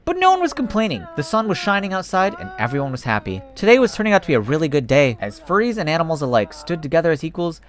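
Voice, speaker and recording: A man's voice: a little high, quick pace, few pauses. A man hosting a YouTube video, speaking in an informal, self-deprecating way as he narrates and chats. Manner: informal, self-deprecating, animated when reading. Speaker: YouTube host reading fan fiction. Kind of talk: casual YouTube narration and chat.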